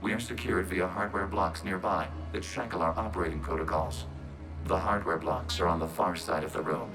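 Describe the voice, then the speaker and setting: A calm, formal robot from a science fiction game. The low voice is measured and logical, choosing words with care, with clear music underneath. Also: male voice. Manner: measured, formal, speaks of itself as we. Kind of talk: video game acting.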